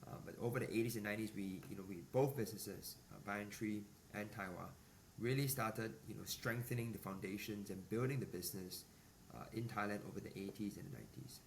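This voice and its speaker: A man in his forties from Singapore, a business executive answering podcast interview questions in a measured way with many fillers and restarts. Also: lowish voice. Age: 40s. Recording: distant mic with faint ambient noise.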